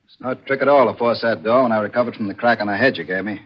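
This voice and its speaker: An actor playing a tough, taunting investigator in a 1940s radio thriller, with sneering, clipped delivery. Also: male voice, on the low side, fast talker, breathy edge. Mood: taunting, accusing. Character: tough, taunting male investigator holding a killer at gunpoint. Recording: dull vintage 1940s radio recording.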